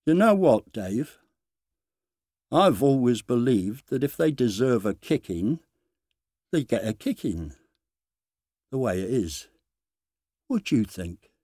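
A man voicing a quietly menacing male bully in a storybook reading, speaking precisely and deliberately. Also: mid-range voice, big pitch swings, dry recording, clean studio sound. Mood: quiet menace.

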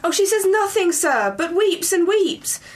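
A woman's voice: very high-pitched, expressive, unhurried. A woman playing a flustered, fussing servant nurse in a Shakespeare audio drama. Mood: flustered, lamenting.

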